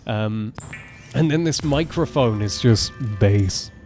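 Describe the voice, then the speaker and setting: A man in his twenties with a naturally deep voice, rambling casually as he streams a game live. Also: animated, slow pace, a little mellow, soft background music. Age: 20s. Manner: chatty, rambling, self-correcting. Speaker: gaming streamer. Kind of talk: casual live gameplay commentary.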